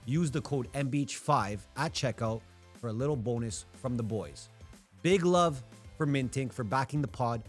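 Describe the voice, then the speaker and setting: A man, hosting a podcast and asking relaxed, casual questions. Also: mid-range voice, normal speed, faint music behind. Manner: relaxed, short prompts and acknowledgments. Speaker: podcast host.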